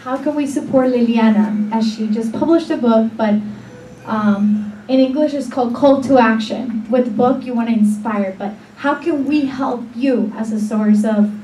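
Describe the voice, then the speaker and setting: A woman's voice: a little high, moderate pace, dark tone. A woman in the audience at a public talk asking a question, switching easily between English and Spanish. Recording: roomy, distant with faint noise.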